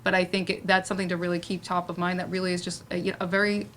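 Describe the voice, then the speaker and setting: Fast-talking woman moderating a panel. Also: fairly low pitch, flat delivery, fairly bright tone. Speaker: moderator and theater director. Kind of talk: panel discussion, casual conversational. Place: live panel event in a room. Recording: noticeable room noise.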